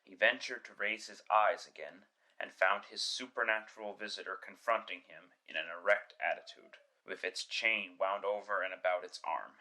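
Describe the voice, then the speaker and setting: A man narrating a classic story in a scripted, dramatic style with measured, literary delivery. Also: sits low, sharp tone, poor audio.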